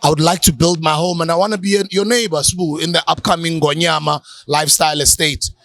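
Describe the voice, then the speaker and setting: Male co-host; relaxed promo read, slightly raised pitch, dry close mic. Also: fast talker, creaky, dry recording. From South Africa. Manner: relaxed, promotional, hesitant with ums. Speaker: podcast co-host. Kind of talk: casual chat, closing plugs.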